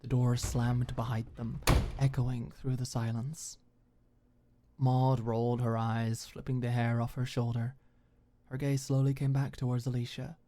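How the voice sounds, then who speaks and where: Very low-pitched woman narrating a story. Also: flat delivery, a little slow, warm tone, a little hiss or hum. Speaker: audiobook narrator. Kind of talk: scripted story narration, intimate audiobook.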